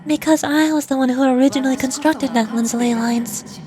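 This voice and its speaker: A woman playing a solemn ancient angelic spirit in a video game, speaking formally and with measured care. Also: high voice, fairly even intonation, darkish tone, soft background music, lightly acted. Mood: solemn, explanatory. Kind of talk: scripted game dialogue.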